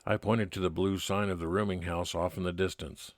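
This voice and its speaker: A man playing a kindly, laconic 1950s private detective, narrating his case in old-time radio drama style with measured, plain-spoken delivery. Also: low voice, little pitch movement, dry recording, studio quality, natural voice. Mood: matter-of-fact. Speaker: narrator and lead actor.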